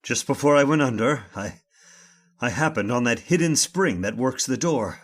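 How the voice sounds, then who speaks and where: Man acting an urgent, commanding gentleman, swooping pitch. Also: animated, normal speed, natural voice. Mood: determined. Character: urgent, commanding gentleman trapped with his servant. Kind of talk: old time radio horror acting.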